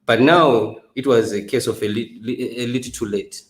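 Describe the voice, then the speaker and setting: A man's voice: medium pitch, fairly even intonation, normal speed. A man, a political scientist speaking passionately on a panel, with hesitations. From Zimbabwe. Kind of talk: discussion panel. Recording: echoey room.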